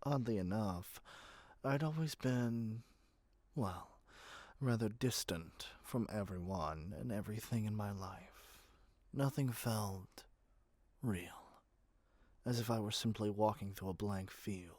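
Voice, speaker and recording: A man's voice: on the low side, slow, a little mellow, no room sound. A man playing a doting yet controlling yandere kidnapper in an ASMR roleplay, speaking intimately to the listener with sweet pet names. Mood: subdued, confiding.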